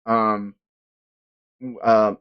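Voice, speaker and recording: A man's voice: on the low side, flat delivery, extremely slow, clean tone, dull audio. Casual, sweary male stream host. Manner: hyperbolic fan slang with frequent swearing. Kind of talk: casual livestream chat about manga.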